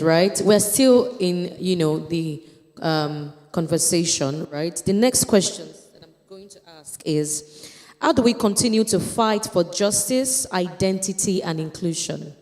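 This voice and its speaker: A woman's voice: on the low side, a little slow, darkish tone. A woman moderating a panel discussion, posing questions casually and checking in with the room. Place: church hall event. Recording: reverberant church hall.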